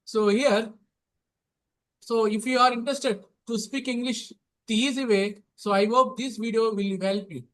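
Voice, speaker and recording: A middle-aged Indian man with a high-pitched voice hosts a relaxed English practice conversation. He speaks haltingly and opens nearly every sentence with "so". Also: poor audio. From Telangana, India. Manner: halting, starts most lines with "so". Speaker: host. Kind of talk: casual English practice chat.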